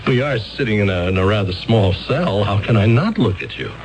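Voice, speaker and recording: A flustered lawyer, stammers a lot, voice jumps all over, old radio play. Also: male voice, on the low side, a little fast, noisy background. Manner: stammering, excitable, incredulous. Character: flustered young male defense attorney. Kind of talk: 1970s radio drama acting.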